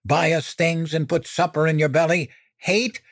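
Male narrator as furious mother, raised pitch, domineering, stern, indignant, emphatic, audiobook drama, dry sound. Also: fairly even intonation, normal speed, no room sound, close to an everyday voice. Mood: outraged. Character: furious, domineering mother scolding her son. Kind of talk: dramatic audiobook character acting.

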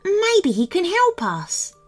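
A woman's voice: extremely high pitch, huge pitch swings, slow, pitched above the performer's own voice, full character voice. A woman voicing a cheerful, boastful little male gnome in a children's story, squealing and eager to persuade. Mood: hopeful, cheerful.

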